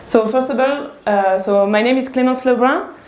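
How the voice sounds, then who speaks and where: French woman tech speaker, talks slow and careful, fairly high voice, echoey hall. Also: darkish tone. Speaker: developer advocate. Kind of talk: technical conference talk. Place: conference hall.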